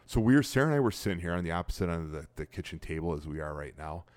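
A middle-aged man hosting a casual podcast from his dining room, telling stories animatedly with loud bursts. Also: deep voice, expressive, racing. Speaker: podcast host.

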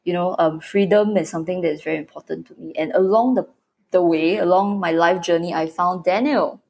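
A woman's voice: fairly low pitch, steady tone, a little fast, creaky, a little mellow. A young Singaporean woman co-hosting a podcast, chatting casually and laughing often, with Singlish particles in her talk. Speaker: podcast co-host. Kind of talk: casual chat.

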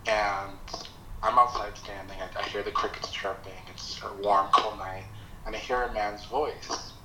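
A man from Nigeria whose first language is Yoruba, telling his life story slowly and reflectively as a podcast guest. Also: lowish voice, fairly even intonation, bright, forceful tone, echoey room, slight background noise, low-quality recording. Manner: slow, reflective, hesitant with pauses. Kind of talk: personal storytelling.

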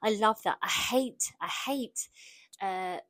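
A woman's voice: medium pitch, takes it slowly, no echo. Frank, jokey woman. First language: Polish. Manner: frank, jokey, animated storytelling. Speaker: comedian. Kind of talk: casual monologue.

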